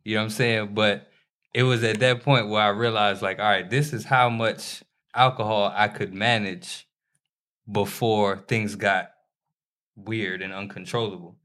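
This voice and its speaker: A man's voice: lowish voice, fairly even intonation, unhurried. A man in his thirties co-hosting a podcast, talking loosely and laughing a lot, swearing freely as he tells stories. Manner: loud, laughing, profane banter. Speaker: podcast co-host. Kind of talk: casual chat.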